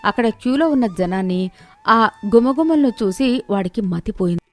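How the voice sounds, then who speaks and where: A woman's voice: fairly high pitch, lively intonation, moderate pace. A woman storyteller delivering scripted narration of a village moral tale. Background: music.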